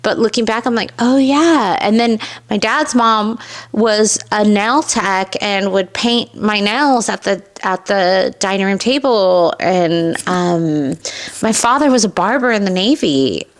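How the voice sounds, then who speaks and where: A woman's voice: medium pitch, a little slow. A middle-aged woman with a Californian accent tells personal stories candidly as an interview guest, often acting out remembered remarks. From Southern California, United States. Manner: candid, animated retelling with acted-out quotes. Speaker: podcast guest, esthetician and business owner. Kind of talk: personal storytelling in a conversational interview.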